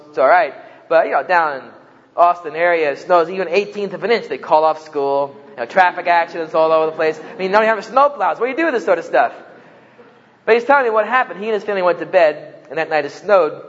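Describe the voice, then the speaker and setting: A man's voice: on the high side, fairly bright tone, big-room sound, lo-fi sound. A man from New Jersey with a New Jersey accent, giving a humorous talk to students and acting out the voices in his stories. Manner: joking storyteller, acts out quoted voices. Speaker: campus speaker.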